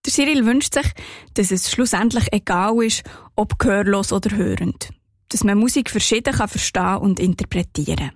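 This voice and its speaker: A woman with a Swiss German accent narrating a scripted radio report in a calm, clear storytelling manner. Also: mid-range voice, moderate pace, no echo, clean studio sound.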